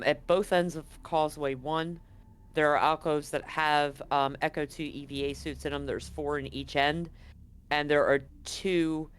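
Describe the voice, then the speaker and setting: A man's voice: a little high, a bit bright, clear, resonant tone. A man running a tabletop role-playing game as game master, narrating scenes and options in a casual, conversational way.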